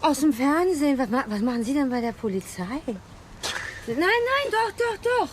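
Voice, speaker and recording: A woman's voice: sits high, big pitch swings, normal speed, a little hiss or hum. A woman playing a driver stopped by police, stammering and starstruck.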